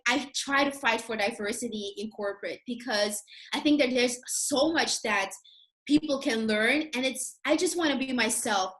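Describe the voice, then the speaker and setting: A woman's voice: a little high, fairly bright tone. A young woman from Curaçao, talking casually and chattily as a guest about her own experience. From Curacao, in the Caribbean. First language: not Dutch, a language of Curacao. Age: 20s-30s. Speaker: guest sharing career experiences. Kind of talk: casual conversational discussion.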